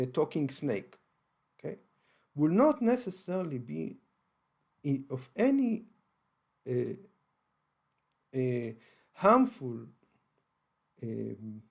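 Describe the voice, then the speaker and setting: A man's voice: mid-range voice, big pitch swings, slow pace, gravelly, long pauses, a little mellow, slightly rough. A man with an Israeli accent, hosting his channel and explaining his views on religion carefully, with frequent hesitations and restarts. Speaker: channel host. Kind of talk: unscripted explanatory talk.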